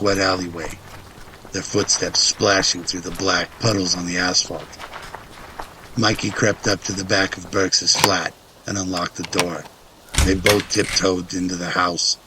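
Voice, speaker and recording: A man's voice: deep voice, background noise. A man reading scripted narration for an audio drama.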